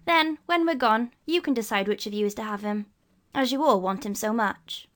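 Woman playing a steady clever boy, a little high, measured and persuasive. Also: close to an everyday voice.

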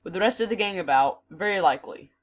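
A woman's voice: medium pitch, lively intonation, fast, lightly acted. A woman voicing a wary, stubborn manservant, firm and rustic in phrasing, in a storybook reading. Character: wary, stubborn manservant, a plain-spoken country man.

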